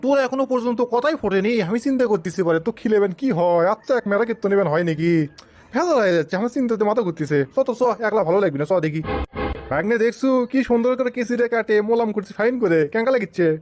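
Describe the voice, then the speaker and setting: A man's voice: high-pitched, animated, racing, a little mellow, soft background music. A man voicing a boastful, grumbling small-town stoner uncle in a comic cartoon, with a northern Bangladeshi accent. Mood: bantering, fussy. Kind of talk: comic cartoon dialogue in rural dialect.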